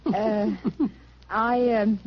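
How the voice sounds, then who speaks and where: A woman playing a polite, gently suspicious young wife, genteel and a little hesitant, in a 1940s radio drama. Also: on the high side, steady tone, drawn-out words. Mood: polite, wary. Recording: dull 1940s radio recording.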